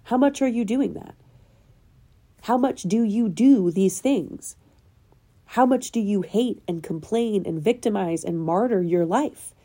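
A woman with a very dark, mellow voice speaks as host of her own show, a little nasal from a lingering cold. She presses her listeners with earnest, probing questions in a spiritual self-help talk. Her pitch sits in an ordinary middle range. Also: a little slow, slightly rough. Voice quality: slightly nasal. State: getting over a cold. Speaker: podcast host.

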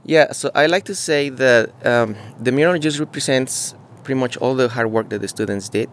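A man from Mexico, talking casually outdoors in an on-site interview, halting and searching for words with many fillers. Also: mid-range voice, a little hiss or hum. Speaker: muralist interviewee. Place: outdoors beside a mural.

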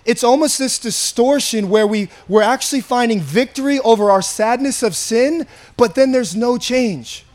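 A pastor with a raspy, slightly hoarse voice, preaching an earnest, conversational sermon and often repeating himself. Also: male voice, very high voice, normal speed, few pauses, darkish tone.

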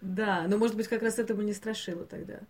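A woman co-hosting a podcast, talking casually about animated films in a hesitant, thinking-aloud way, given to chuckling. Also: mid-range voice, fast. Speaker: podcast co-host.

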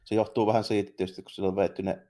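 A man's voice: lowish voice, flat delivery, dark tone. A man co-hosting a podcast, talking casually about films in a colloquial, rambling way. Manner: colloquial, rambling, loosely phrased. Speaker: podcast co-host. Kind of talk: casual film discussion between two co-hosts.

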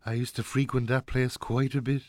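An actor playing a gentle, wistful Irish father of a grown son in a naturalistic radio drama. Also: medium pitch, level tone, brisk, no echo, lightly acted. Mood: reflective, regretful.

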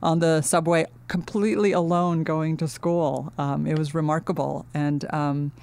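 Earnest middle-aged woman, halting and thoughtful. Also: sits low, steady tone, creaky, a little mellow. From Finland. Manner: thoughtful, earnest, many fillers and restarts. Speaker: guest, architecture dean. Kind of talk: casual interview talk.

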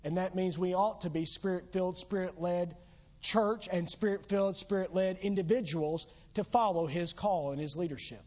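Preacher, male, voice going hoarse, pitched high, earnest emphatic delivery, muffled lo-fi church recording. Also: moderate pace, darkish tone. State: losing his voice. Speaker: pastor. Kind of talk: sermon. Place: church sanctuary.

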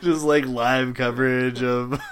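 A man's voice: mid-range voice, little pitch movement, a little slow, a bit bright, a little hiss or hum. A man co-hosting a casual podcast, tossing in sarcastic jokes. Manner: joking, sarcastic quips. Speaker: podcast co-host.

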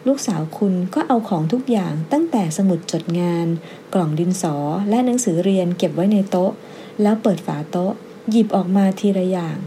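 Woman acting storybook schoolteacher, exasperated, emphatic, formal, very mellow timbre, faint noise. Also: medium pitch, lightly acted. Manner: emphatic, complaining, formally polite. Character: exasperated, indignant female primary school teacher.

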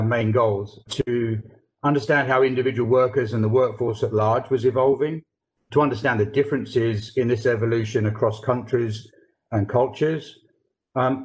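A man on a podcast explaining a workplace research study, pausing often with fillers and restarts. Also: medium pitch, low-quality recording. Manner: hesitant, many fillers and restarts. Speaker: corporate real estate research lead.

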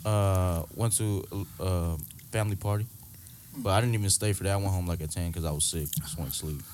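A man's voice: low voice, fairly quick, a little mellow, slight background noise. A man co-hosting a casual podcast, speaking in a laid-back, slangy, somewhat mumbled way. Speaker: podcast co-host.

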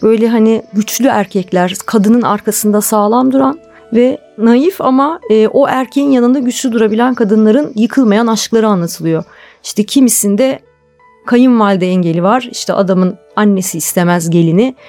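A woman's voice: on the high side, moderate pace, darkish tone, soft background music. A woman speaking as an interview guest, explaining her thoughts in a conversational, reflective style. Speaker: interview guest, television drama producer.

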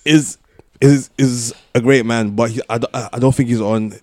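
A man's voice: mid-range voice, steady tone, moderate pace, darkish tone, no echo. A man in casual podcast talk, hesitantly repeating words as he makes his point. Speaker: podcast panellist. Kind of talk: casual discussion among friends.

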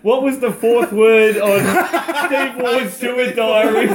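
Nasal aussie bloke, high voice, self-mocking jokes, roomy room, mates cracking up. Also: male voice, fairly even intonation, slow, a loud crowd. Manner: self-deprecating, wry. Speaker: podcast co-host.

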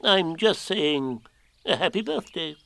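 Storybook owl, voiced by a male reader: self-important, slightly anxious, very slow and halting, pitched well up. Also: expressive, creaky, a bit bright, no echo, exaggerated voice. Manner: deliberate, halting, pompous. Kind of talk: children's storybook character voice.

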